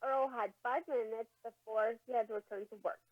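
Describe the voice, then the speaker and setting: Muffled, higher-voiced woman telling stories. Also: steady tone, normal speed, dry recording. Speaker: podcast co-host and amateur writer. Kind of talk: amateur storytelling and discussion.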